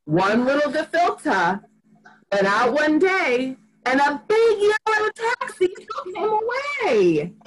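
A woman in her forties reading a picture book aloud to young children in an expressive, sing-song, deliberate way, recorded at home on a computer mic. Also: high voice, noisy background. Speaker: rabbi reading to preschoolers. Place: small furnished room at home. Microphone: computer microphone on a video call.

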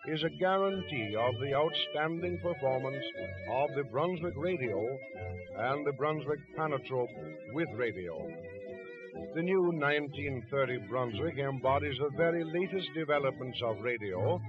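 A man announcing a sponsored programme in formal, declamatory 1920s radio style. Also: mid-range voice. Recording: muffled 1920s radio recording.

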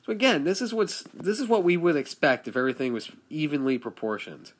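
A man's voice: a little high, brisk. A male statistics teacher recording a screencast lesson, talking through each calculation step by step as he works it out. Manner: steady step-by-step explaining, talks through calculations aloud.

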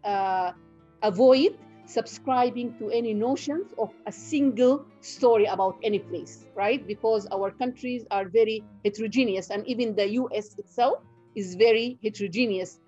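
A middle-aged woman from Sudan whose first language is Nubian, a professor giving an online guest lecture in a measured way with many fillers and restarts, on a video call from home. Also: fairly high pitch, mellow, muffled recording, faint music behind. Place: home room.

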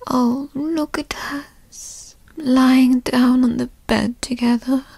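A woman playing a doting, possessive yandere girlfriend who teases and coos in a soft, breathy ASMR roleplay whisper, close to the mic. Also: high-pitched, fairly even intonation, no room sound, full character voice. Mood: tender coaxing. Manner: slow, breathy, cooing, teasing. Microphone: close microphone, dry.

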